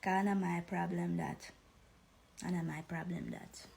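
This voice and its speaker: Gravelly woman in patois, slow. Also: lowish voice, steady tone, darkish tone, a little hiss or hum. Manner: speaks in Jamaican patois. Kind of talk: casual rant.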